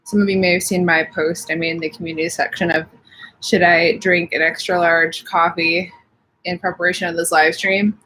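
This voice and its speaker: A woman's voice: fairly low pitch, little pitch movement, normal speed, bright, forceful tone, poor audio. A young woman with slightly sniffly allergies, chatting casually from home on a live stream and reading out viewer comments. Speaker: livestream host.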